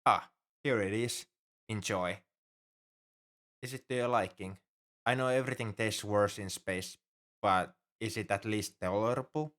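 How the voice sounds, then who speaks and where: Casting: male actor for a worrying, devoted alien shipmate, fairly deep voice, earnest and halting, formal turns of phrase, intimate ASMR roleplay. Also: fairly bright tone. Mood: friendly, hopeful. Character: anxious, caring male alien crewmate on a spaceship. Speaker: ship crewmate tending a sick human.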